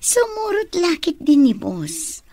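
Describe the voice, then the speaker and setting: A woman's voice: high-pitched, very animated, extremely slow, darkish tone, dry recording, full character voice. A woman with an Ilocano accent playing a coy, dutiful young office secretary in a radio drama. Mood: coy, excited.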